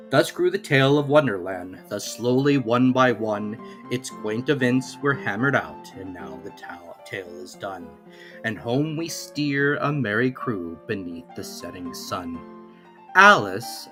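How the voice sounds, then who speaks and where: A man narrating a classic children's story in an expressive, theatrical reading style. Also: medium pitch.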